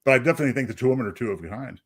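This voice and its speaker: A man with an average-pitched voice chats as a podcast co-host. He explains bits of fan trivia conversationally, with a jocular streak. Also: animated, racing, a bit bright. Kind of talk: casual fan trivia chat.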